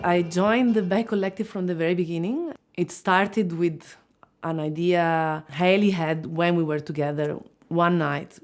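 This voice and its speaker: A woman's voice: on the low side, unhurried, a little mellow, faint music behind. A middle-aged woman from Italy with an Italian accent, talking in a documentary interview about playing woodwinds, earnest and sometimes searching for words. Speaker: band woodwind player.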